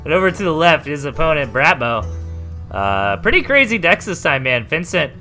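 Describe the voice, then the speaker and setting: A man's voice: a little high, big pitch swings, normal speed, pushed and bright, soft background music. A man hosting a live esports replay cast, giving chatty play-by-play with lots of fillers. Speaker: host caster. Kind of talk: live esports game commentary.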